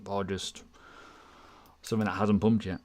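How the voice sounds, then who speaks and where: A man's voice: lowish voice, level tone, normal speed, darkish tone. A man hosting a casual live question-and-answer stream, chatty and self-deprecating, rambling through asides. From United Kingdom. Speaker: crypto livestream host.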